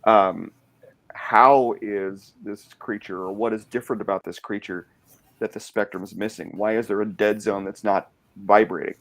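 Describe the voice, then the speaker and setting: A podcast host with a low, gravelly baritone. He talks casually and takes his time explaining things. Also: fairly even intonation, normal speed, a bit bright. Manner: chatty, explains at length.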